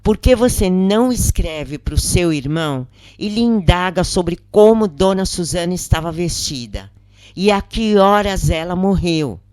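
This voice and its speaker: A Brazilian narrator reads a scripted story in a close, dry recording. The delivery is slow and deliberate, with measured pauses between phrases, in the manner of an audiobook. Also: medium pitch, mellow, no echo. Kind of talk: scripted narration, audiobook-style reading of a first-person spiritist account.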